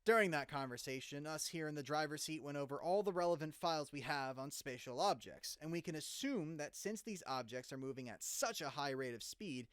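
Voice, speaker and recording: A man's voice: on the high side, fairly bright tone, smooth, no echo, studio quality. A man playing an incredulous, urgent radio news host in a dramatized live broadcast.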